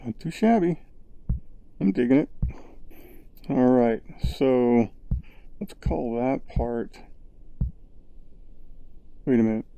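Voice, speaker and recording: A man narrating his VR sculpting session in a relaxed, rambling way, thinking aloud with lots of fillers, recorded on a headset's built-in mic. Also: mid-range voice, takes it slowly, long pauses, smooth, dry recording, highs cut off. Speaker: VR sculpting creator. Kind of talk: casual tutorial narration.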